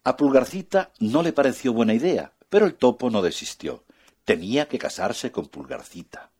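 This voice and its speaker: A man narrating a children's fairy tale in an expressive, soft-spoken way. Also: mid-range voice, moderate pace, breathy edge. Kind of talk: children's storytelling.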